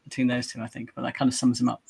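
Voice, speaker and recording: A man's voice: medium pitch, completely flat delivery, racing, gravelly, a little mellow, slightly rough. A man chatting casually and thoughtfully as a livestream guest, somewhat hesitant. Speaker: cast guest on a Q&A.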